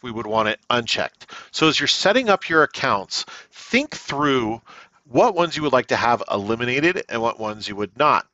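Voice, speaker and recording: Bright-voiced man presenting a software tutorial. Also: mid-range voice, no room sound, highs cut off. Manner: conversational, checks in with "okay?" and "all right?". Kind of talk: unscripted screen-share walkthrough, explanatory.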